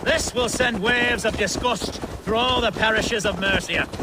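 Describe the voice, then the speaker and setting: A man's voice: high voice, unhurried, very noisy. An actor playing a grave, outraged medieval churchman in a video game, solemn and indignant. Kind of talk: video game character acting.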